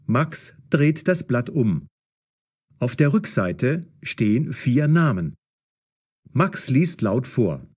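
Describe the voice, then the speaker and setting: Slow, expressive male narrator. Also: medium pitch. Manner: measured, clearly enunciated reading. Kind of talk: scripted audio story narration for language learners.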